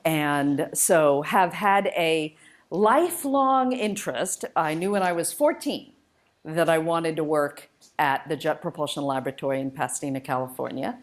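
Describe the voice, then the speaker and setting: A woman's voice: deep voice, expressive, normal speed. A woman giving an informal public lecture, conversational, enthusiastic and emphatic as she shares personal stories as a guest speaker. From United States and Malaysia, born in India. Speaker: guest speaker, spacecraft engineer.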